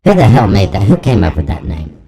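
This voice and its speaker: A man in his forties rambling through a deadpan, profane improvised comedy monologue, his voice run through a pitch-shifting voice transformer. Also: brisk, gravelly, crowd noise. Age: 40s. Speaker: comedic host demonstrating a voice-effect device.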